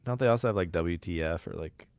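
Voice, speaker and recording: A male podcast host in relaxed casual chat, wrapping up the show with a laugh. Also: low-pitched, fast talker, smooth, dry recording.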